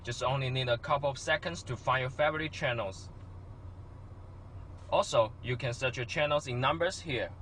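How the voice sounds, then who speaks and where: A man presents a product walkthrough from a script. His voice sits at an ordinary middle pitch, and faint background noise runs under the recording. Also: moderate pace, fairly bright tone. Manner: non-native English phrasing. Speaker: product demonstrator. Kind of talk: scripted product demo narration.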